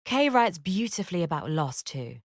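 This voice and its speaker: A woman giving scripted educational narration in a measured, expressive read-aloud manner. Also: on the low side, dry recording, clean studio sound.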